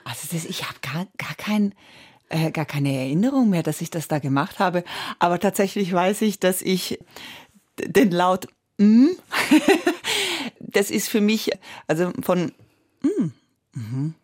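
A woman, an actress whose first language is Italian, answering interview questions in a reflective, searching way with frequent hums and soft laughs. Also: on the low side, very animated, measured pace. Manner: thoughtful, searching, with many hums and small laughs.

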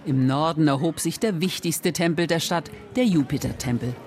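A woman's voice: sits low, moderate pace, a little mellow, a little hiss or hum. A woman working as a radio reporter, reading scripted reportage narration.

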